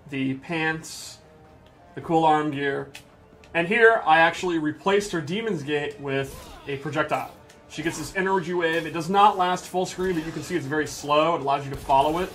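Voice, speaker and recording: A man's voice: fairly high pitch, normal speed, fairly bright tone, soft background music. A man giving enthusiastic, explanatory commentary on a casual live gameplay stream as a game developer. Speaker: game developer demonstrating a character.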